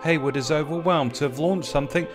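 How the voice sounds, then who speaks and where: A man narrates a news report in a slightly high voice. His delivery is scripted reporting, and music plays clearly behind him. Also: fast.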